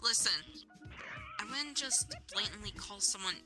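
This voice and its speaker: A woman's voice: mid-range voice, unhurried, music in the background, close to an everyday voice. A woman voicing a guarded, apologetic character in improvised online roleplay. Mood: dry, firm.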